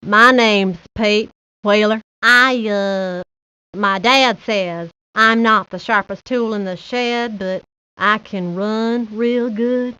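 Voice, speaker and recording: A woman voicing a good-natured, slow-witted boy with a simple, dopey manner, for a cartoon video game. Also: mid-range voice, bright, forceful tone, clean tone, no room sound. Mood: dopey, cheerful. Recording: dull lo-fi 1990s game audio.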